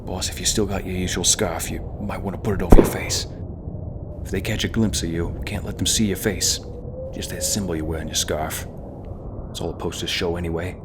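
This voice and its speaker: A loyal, trigger-happy Old West henchman acted by a man talks in a fairly low voice. He is urgent and scrappy, as in an audio roleplay. Loud noise with clicks and bangs fills the recording. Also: fairly even intonation, fairly quick, natural voice. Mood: cocky, bloodthirsty. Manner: urgent, scrappy, muttering to the boss. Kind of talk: ASMR roleplay acting addressed to the listener.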